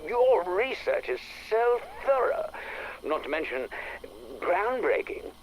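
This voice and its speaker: An actor playing a jaunty, pompous gentleman adventurer with an upper-class English accent, eager and boastful, in a game audio log. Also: high-pitched, sing-song, slow pace, a little hiss or hum, exaggerated voice, low-quality recording. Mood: jovial, eager.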